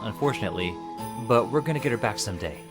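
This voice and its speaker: A man's voice: lowish voice, expressive, fast, lightly acted. A man playing an earnest young ninja hero in a cartoon fan drama, explaining things seriously. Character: earnest young heroic male ninja turtle leader. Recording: loud music behind the voice.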